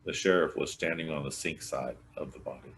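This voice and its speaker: A man with an extremely low voice reads a mystery story aloud. He narrates from a written text as a volunteer reader. The recording has clear background noise. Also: fairly quick, fairly bright tone. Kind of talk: scripted read-aloud of a mystery novel.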